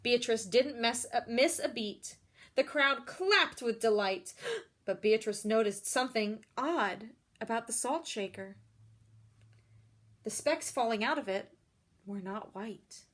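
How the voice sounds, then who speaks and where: Female storyteller, high voice, expressive children's read-aloud with explanatory asides. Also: takes it slowly. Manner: expressive, explains feelings and puts questions to children. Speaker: storyteller reading a picture book to children.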